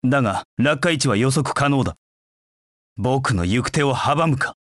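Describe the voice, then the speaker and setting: An actor voicing a proud, cold dark knight in a video game, with curt, haughty, disdainful delivery. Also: male voice, medium pitch, lively intonation, moderate pace, dry recording, clean studio sound, close to an everyday voice. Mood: confident, aloof. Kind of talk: video game voice acting in short battle lines.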